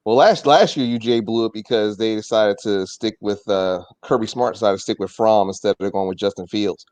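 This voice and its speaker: A man with a somewhat low voice hosts a casual sports talk. He puts questions in a conversational way. The recording is dry, without any room echo. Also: fairly quick, a little mellow. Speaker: podcast host.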